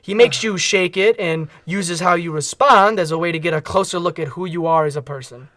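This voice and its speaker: A man acts out a streetwise old-hand henchman in a comic radio play. His voice is high and recorded very dry, with an easygoing, colloquial, chummy delivery. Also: a little fast, a bit bright, dry recording, natural voice. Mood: confiding, matter-of-fact. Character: easygoing streetwise veteran henchman, male, loyal to boss. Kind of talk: comedic radio theatre acting.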